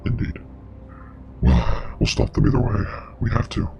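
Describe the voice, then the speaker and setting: Guy as knowing grim investigator in web horror drama, super gravelly breathy low voice, explains stuff clinically, music behind. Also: big pitch swings, moderate pace, lo-fi sound. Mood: solemn, matter-of-fact. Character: grave, knowing male parasite expert and investigator.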